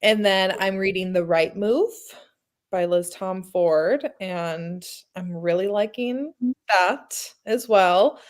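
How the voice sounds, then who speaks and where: Woman, medium pitch voice, casually chatting about books as a cohost. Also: slow. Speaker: book show co-host. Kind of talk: casual book chat.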